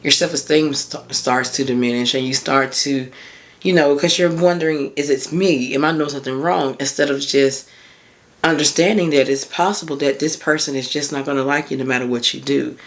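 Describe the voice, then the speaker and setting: A woman in her thirties with a hoarse voice, hosting a casual solo podcast as a mindset coach and chatting informally and encouragingly with her listeners. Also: very deep voice, steady tone, normal speed. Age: 30s.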